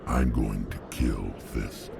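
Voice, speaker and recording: Hulking alien bounty hunter, extremely low voice, heavy growling creak, terse threats, video game. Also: male voice, takes it slowly, crowd noise, exaggerated voice. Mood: gruff, measured. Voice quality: very deep, gravelly. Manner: blunt, menacing, terse. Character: gruff hulking alien bounty hunter, battle-hardened male warrior.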